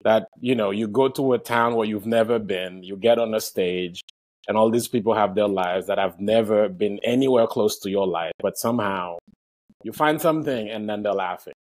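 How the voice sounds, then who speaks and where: A man's voice: on the low side, normal speed, a little mellow. Haitian-accented man, earnest comedian. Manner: reflective, earnest, swears casually. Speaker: stand-up comedian guest. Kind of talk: casual conversation.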